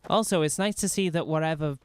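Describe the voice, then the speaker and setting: A man's voice: on the high side, fast, clean tone. Rambling Welsh man streaming a game. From Wales. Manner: rambling, self-mocking, many restarts. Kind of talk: casual in-character role-play commentary.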